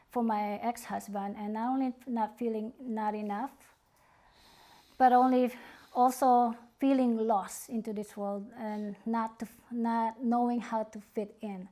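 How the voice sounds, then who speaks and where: A middle-aged woman from the Philippines, a guest on an interview, speaking earnestly and haltingly. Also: a little high, level tone. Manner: slow, halting, earnest, soft-spoken. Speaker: author and guest. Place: studio.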